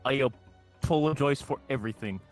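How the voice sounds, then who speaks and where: A man's voice: medium pitch, soft background music. A man playing a tabletop game, chatting with a put-on Russian accent and giggling often. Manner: slow, giggly, drawn-out delivery. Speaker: player. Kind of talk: casual chat with a joke Russian accent.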